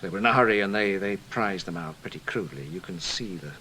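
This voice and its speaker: Deferential investigator, faint noise. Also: male voice, low voice, expressive, quick pace, sharp tone, poor audio. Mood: matter-of-fact. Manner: polite, factual reporting. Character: deferential, matter-of-fact male British security investigator. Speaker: subordinate colleague reporting. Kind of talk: radio drama acting.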